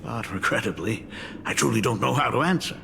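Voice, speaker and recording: Expressive, gravelly fatherly dean. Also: mid-range voice, a little fast, long reverb tail, a little hiss or hum, full character voice. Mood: formal. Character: doting, fretful middle-aged father and academy dean. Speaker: academy dean. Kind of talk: video game voice acting.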